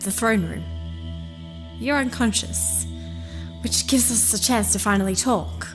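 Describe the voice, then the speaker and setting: A woman playing a calm, knowing princess, an inner voice speaking with measured patience in a fantasy audio drama. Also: on the high side, lightly acted. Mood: calm, explanatory. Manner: measured, patient, gently insistent. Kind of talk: full-cast fantasy audio drama acting. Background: music.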